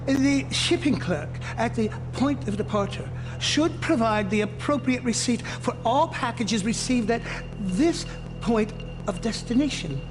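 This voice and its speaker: A prissy station clerk, high-voiced for a man, reciting rules with formal, exaggerated politeness. It is comic game acting in a long reverberant hall, with music underneath. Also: expressive, measured pace. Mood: pedantically polite. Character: officious, pedantic railway baggage clerk quoting regulations.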